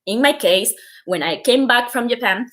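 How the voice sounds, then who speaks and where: A woman's voice: on the high side, lively intonation, moderate pace, fairly bright tone. Costa Rican woman explaining informally. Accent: Costa Rican Spanish. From Costa Rica. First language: Spanish. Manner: explanatory, repeats and stresses key points, frequent "like". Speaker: host giving application advice. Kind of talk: informal explainer to camera on a livestream.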